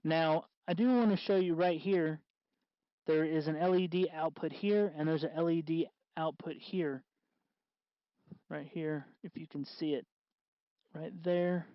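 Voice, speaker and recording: A man hosting a casual hands-on DIY tutorial, explaining in a halting, unscripted way, with slightly muffled sound. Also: on the high side, steady tone, dry recording. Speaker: host of DIY electronics tutorial.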